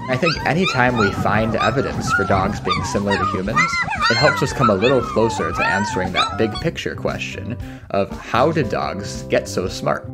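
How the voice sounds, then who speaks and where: A man speaking as a scientist guest, explaining research step by step in a measured way. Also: medium pitch, wildly swooping pitch. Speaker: dog cognition scientist, guest. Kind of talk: explanatory interview talk. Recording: guitar music behind the voice.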